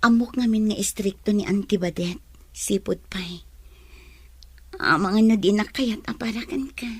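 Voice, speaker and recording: A woman playing a shy, anxious young woman in an audio drama, hesitant and soft-spoken. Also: mid-range voice, moderate pace, breathy edge, no echo, close to an everyday voice. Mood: shy, worried. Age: young adult.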